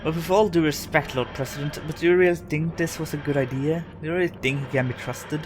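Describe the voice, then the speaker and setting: A man's voice: on the high side, steady tone, fast, over music, lightly acted. Wary, dutiful dock mechanic played by a man. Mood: wary, doubtful. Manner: plain-spoken, deferential, guarded. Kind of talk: audio drama acting.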